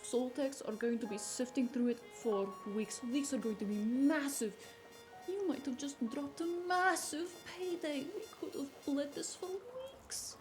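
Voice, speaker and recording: A woman playing a blunt, exasperated, sharp-tongued shaman in a cyberpunk audio drama. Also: sits high, a little slow, faint music behind. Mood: alarmed, scolding. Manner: blunt, sardonic.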